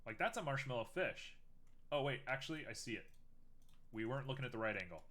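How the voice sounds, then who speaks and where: A man's voice: medium pitch, animated, fast talker, fairly bright tone. A young man streaming live while making art, chatting casually and teasing himself as he works. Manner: chatty, self-mocking, thinks aloud while working.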